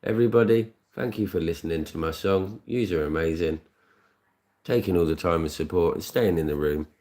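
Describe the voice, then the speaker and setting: A British man with a deep voice, casually chatting on his scratch card live stream, thanking viewers by name and rambling through asides. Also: fairly quick, dark tone.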